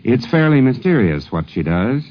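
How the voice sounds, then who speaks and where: A man hosting a radio program, giving measured, reflective scripted commentary. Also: medium pitch, big pitch swings. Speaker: radio host. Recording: dull vintage radio recording.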